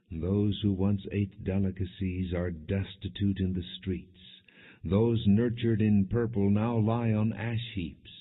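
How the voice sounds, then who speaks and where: A man narrating an audiobook, reading scripture in a solemn, measured and dramatic way. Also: low voice, breathy edge. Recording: tinny phone-like narrow-band sound.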